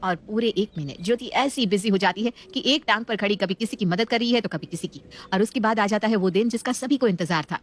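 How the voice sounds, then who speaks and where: A woman narrates a scripted domestic story at a quick clip. Her voice sits at an ordinary middle pitch for a woman as she tells the tale.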